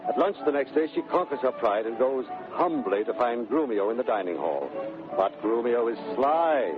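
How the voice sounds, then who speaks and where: A man's voice: medium pitch, big pitch swings, moderate pace. A man narrating a scripted radio drama in 1930s broadcast style. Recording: muffled 1930s radio, music behind.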